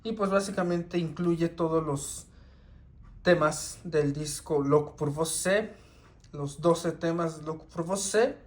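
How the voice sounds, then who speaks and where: A man, talking casually and unhurriedly through his CD collection, with many pauses and restarts. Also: fairly high pitch. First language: Spanish. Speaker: record collector.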